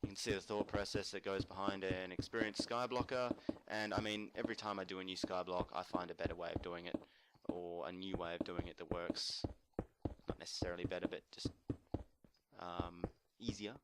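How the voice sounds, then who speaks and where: A man casually narrating a building tutorial while playing, murmuring to himself and correcting himself as he goes, his voice a little croaky. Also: sits low, fairly even intonation, a little fast, very noisy. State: slightly croaky from screaming at a concert. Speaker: let's play host.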